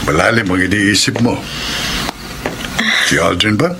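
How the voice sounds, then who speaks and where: A man playing a gentle, middle-aged father who gives measured, cautioning advice in a radio drama. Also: fairly low pitch, very noisy. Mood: concerned, gentle. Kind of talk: Filipino radio romance drama acting.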